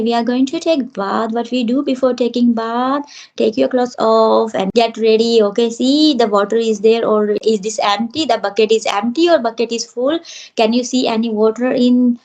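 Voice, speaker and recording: A woman's voice: fairly high pitch, normal speed, a little mellow. A woman English teacher giving firm, reassuring advice in an interview.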